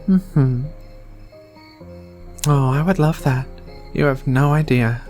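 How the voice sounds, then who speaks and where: A woman playing a shy, flirty, affectionate alien in a soft-spoken, hesitant ASMR roleplay. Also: rumbling low. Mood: tender, pleased. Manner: hesitant, bashful, murmuring with many pauses. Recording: quiet music behind the voice.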